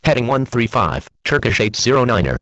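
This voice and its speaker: A man's voice: medium pitch, big pitch swings, brisk, sharp tone, no echo. A man speaking clipped aviation phraseology over an air traffic radio transmission. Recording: dull, band-limited air traffic radio.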